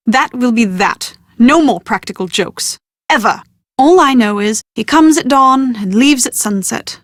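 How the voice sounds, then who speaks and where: Prim, high-voiced young British bride-to-be, played by a woman. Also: dry recording, clean studio sound, close to an everyday voice. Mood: exasperated, then mildly disdainful. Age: young adult. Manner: prim, articulate, genteel phrasing. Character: well-bred young bride-to-be, proud, exasperated yet confident. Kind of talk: scripted video game dialogue.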